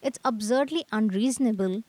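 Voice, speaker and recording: A woman's voice: fairly high pitch, brisk, a little mellow, dry recording, natural voice. A woman playing an earnest, eager young male artist in a radio drama, with wordy old-fashioned phrasing. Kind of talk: scripted radio drama acting.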